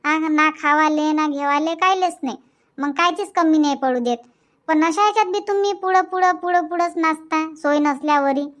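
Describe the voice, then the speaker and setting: A woman's voice: high-pitched, moderate pace, a bit bright. A woman voicing a nagging, thrifty village wife in a cartoon comedy, arguing in a Varhadi Marathi accent. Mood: annoyed, scolding. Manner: scolding, argumentative. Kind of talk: colloquial cartoon comedy dialogue.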